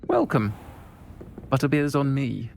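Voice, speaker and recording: A performer with a low-for-a-woman voice playing a warm, wry, protective woman tavern keeper in a video game. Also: unhurried, gravelly, noisy background. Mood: welcoming.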